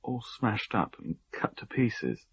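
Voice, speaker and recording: A shaken husband tells his story in a low, husky voice. He is halting and haunted, in radio play style, and the recording is slightly dull. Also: sing-song, a bit bright, exaggerated voice. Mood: haunted, grim. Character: shaken young husband recounting a horrific haunting.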